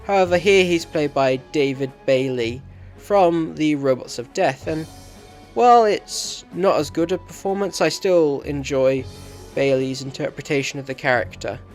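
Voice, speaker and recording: Higher-pitched man reviewing over music. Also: moderate pace. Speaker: video reviewer. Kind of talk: scripted review commentary.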